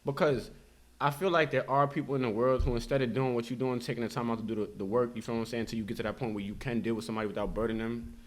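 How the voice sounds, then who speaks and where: A man's voice: mid-range voice, steady tone, racing. A man in a casual, candid podcast conversation, talking in an emphatic, slangy way and repeating himself for emphasis.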